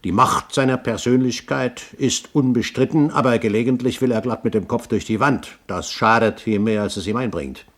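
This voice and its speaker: An actor playing a proud, cunning older pirate captain in a 1970s German radio drama, speaking eloquently and theatrically. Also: male voice, medium pitch, moderate pace, hardly any pauses, natural voice. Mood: smooth, persuasive. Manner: eloquent, pompous, theatrical phrasing.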